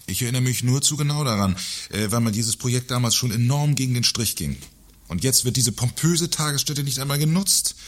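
A man acting a gruff, sarcastic, pompous middle-aged company director in a satirical radio play. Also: medium pitch, a little fast, lightly acted. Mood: grumbling, scornful.

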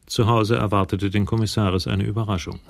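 A man's voice: fairly low pitch, fairly even intonation, fairly quick, gravelly. A man narrating a radio crime drama in scripted prose.

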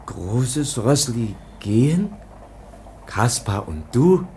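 An actor playing a childlike young man who speaks in broken, halting phrases, sometimes whispering. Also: mid-range voice, very animated, mellow, slight background noise. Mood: childlike wonder. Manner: halting broken simple speech, often whispering. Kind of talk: radio play acting.

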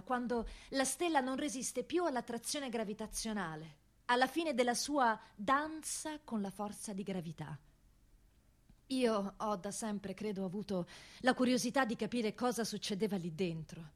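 Hushed, reflective woman narrator. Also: medium pitch. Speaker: actress-narrator. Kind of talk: poetic scripted narration for radio theatre.

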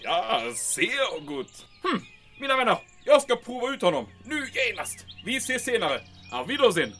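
An actor playing an excitable army major in an audio drama, with a German accent and German words mixed into his Swedish. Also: high voice, soft background music, exaggerated voice. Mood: eager, brisk.

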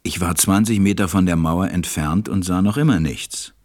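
A man's voice: deep voice, normal speed, a little mellow, no echo, studio quality. A man narrating and acting in an audio drama as a tender, melancholy stranded pilot. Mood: tense narration.

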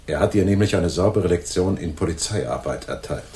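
A man playing a calm, authoritative police detective in a radio crime drama, measured and firm. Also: deep voice. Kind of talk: 1980s German radio crime drama acting.

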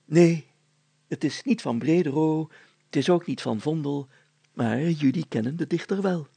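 Storybook mayor, played by a male reader: pompous and solemn, pitched up, with a gravelly edge. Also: measured pace, warm tone, dry recording, heavily acted. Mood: proud. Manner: solemn, declamatory, grandly formal. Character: pompous, proud village mayor, secretly a poet. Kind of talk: acted storybook dialogue.

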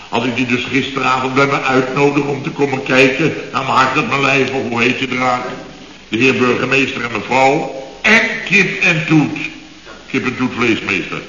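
A man's voice: medium pitch, sharp tone. An actor playing a blustering, sarcastic middle-aged contractor boss who grumbles and muddles his expressions in a radio comedy. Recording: dull, echoey old radio recording.

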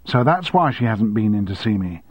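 A man voicing an indignant, sharp-tongued longtime woman secretary with cutting, sarcastic retorts, on a dry, slightly dull recording. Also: on the low side, sing-song, normal speed, slightly rough, no echo.

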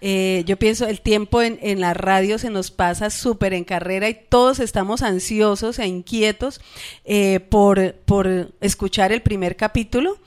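Female Colombian radio host, mid-range pitch, conversational interviewing style. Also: fairly even intonation, measured pace, a little mellow.

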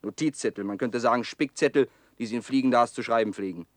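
A man acting a contemptuous press commentator in a radio play. He rattles along quickly with agitated scorn. The recording is very dry. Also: medium pitch, dry recording, lightly acted. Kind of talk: radio drama mock commentary.

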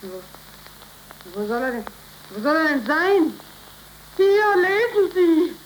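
An actress playing an anxious, grieving young woman in a 1930s radio drama, speaking slowly and with emotion. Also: high voice, sing-song, heavily acted. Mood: distraught. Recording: crackly 1930s radio recording, hiss.

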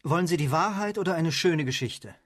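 An excitable, inventive scientist in a radio drama, his voice pitched on the high side. He is sceptical and thinks out loud, in hesitant bursts. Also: a little fast. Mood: incredulous, sceptical. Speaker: actor in radio play. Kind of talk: German radio play acting.

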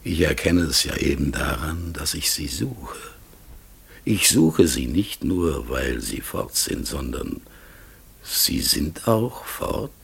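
An actor in a radio play playing an anguished outcast talking raven, raspy and breathy, speaking in a halting, lamenting way. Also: male voice, rumbling low, full character voice. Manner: halting, heavy, lamenting delivery. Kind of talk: German radio play acting.

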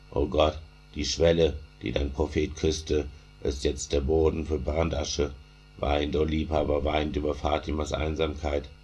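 A man's voice: extremely low pitch, a little mellow, husky. A man reciting a mourning lament in a chanting delivery, returning again and again to a repeated refrain.

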